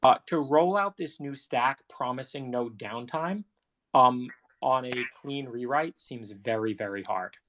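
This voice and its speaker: A man's voice: mid-range voice, measured pace, a bit bright, no echo, poor audio. A man debating technical matters casually, with a blunt, interjecting manner.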